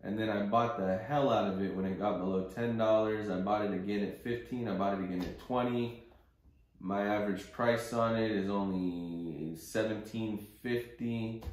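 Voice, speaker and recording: A man with a slightly low voice speaks in a heavily echoing room. He hosts an investing call off the cuff, blunt and opinionated, with coarse, casual wording. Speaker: host of an investing conference call. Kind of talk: informal market commentary, speaking off the cuff. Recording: very roomy, echoing sound.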